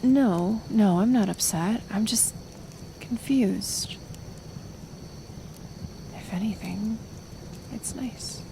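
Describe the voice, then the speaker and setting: A woman playing a kind, flustered, curious healer in an intimate ASMR roleplay, speaking softly and hesitantly. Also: medium pitch, gravelly, noisy background. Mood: confused, flustered. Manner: halting, soft, hesitant delivery. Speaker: roleplay audio performer.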